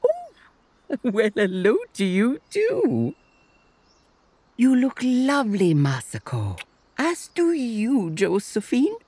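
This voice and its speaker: A gracious, kindly grandmother, acted by a woman in a motion comic. She speaks slowly and courteously, with a husky voice that swoops widely in pitch. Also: huge pitch swings, darkish tone, no room sound, heavily acted. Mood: warm greeting. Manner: genteel, courteous.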